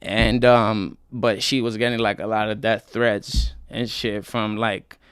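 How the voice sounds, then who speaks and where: A man's voice: lowish voice, little pitch movement, unhurried, a bit bright, clear, resonant tone, no echo. Laid-back young man telling his story. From Colombia. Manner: laid-back slangy storytelling, many fillers. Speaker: interview guest, rapper. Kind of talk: casual interview talk.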